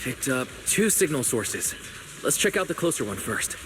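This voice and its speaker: A man playing a calm, kindly young manager in a video game, talking in a reassuring, encouraging way. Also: medium pitch, moderate pace, over music, lightly acted. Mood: focused. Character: young male manager and secret proxy, calm, kindly leader.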